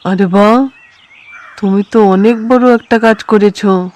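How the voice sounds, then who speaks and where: Woman acting an unhurried, exclaiming village housewife. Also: medium pitch, steady tone, a little mellow, natural voice. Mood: admiring. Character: farmers' wife, impressed and exclaiming village housewife. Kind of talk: Bengali cartoon horror story dialogue.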